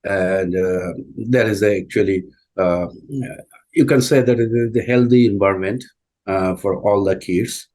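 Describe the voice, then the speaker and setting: A deep-voiced man, speaking English as an after Bengali. He talks slowly and haltingly, with frequent fillers and restarts as he answers interview questions. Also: darkish tone. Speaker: community school president, guest.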